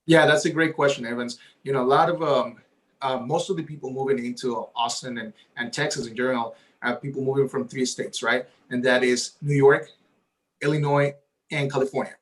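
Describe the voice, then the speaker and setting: A man's voice: mid-range voice, fairly even intonation, a little fast, a bit bright, rough recording. A man whose first language is Spanish, a realtor talking eagerly in a casual interview and often tagging his points with "right?". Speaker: realtor guest.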